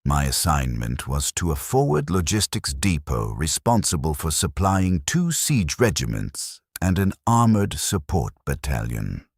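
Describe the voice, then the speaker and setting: A man narrating a scripted sci-fi war story as a weary, resigned military logistics officer, solemn and measured. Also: extremely low pitch, very animated, breathy edge, no room sound, studio quality, heavily acted. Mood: somber, resigned.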